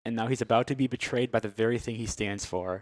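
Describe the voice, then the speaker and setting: A man giving live gameplay commentary as a speedrunner, hesitant and rambling with dry jokes, with loud game music behind him. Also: medium pitch, fast, slight background noise. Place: livestreamed charity gaming marathon.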